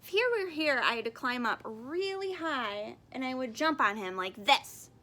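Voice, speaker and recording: Woman as a sulky little boy, very animated. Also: sits high, a little slow, heavily acted. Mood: angry, complaining. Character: aggrieved, impulsive little boy sulking over his brother.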